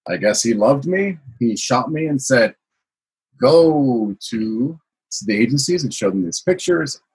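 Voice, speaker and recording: A man's voice: medium pitch, expressive, unhurried, darkish tone, breathy edge, low-quality recording. A man from the United States, a guest telling his life story in a casual interview, rambling with many fillers. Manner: rambling, frequent "you know" fillers.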